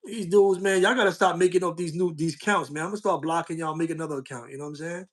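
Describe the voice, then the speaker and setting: A man's voice: a little high, fairly even intonation, fast. A middle-aged man talking casually to his livestream viewers, using street slang and often repeating himself with "you know what I'm saying". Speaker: social media streamer.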